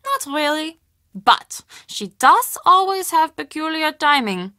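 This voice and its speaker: A friendly castle hostess from a mystery video game. Her voice is bright and fairly high, with an unhurried, measured delivery. She speaks earnestly and confidingly, as if easily spooked. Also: sing-song, no echo, studio quality, exaggerated voice. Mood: chatty, confiding. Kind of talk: video game dialogue acting.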